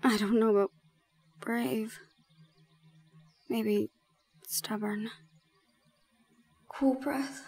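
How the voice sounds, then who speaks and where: A woman voicing a shy but stubborn young gorgon in an intimate romantic audio roleplay, sometimes dropping into breathy, whispery asides. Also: fairly high pitch, steady tone, drawn-out words, pauses often, a little mellow, dry recording, full character voice. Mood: shy, flustered. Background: music.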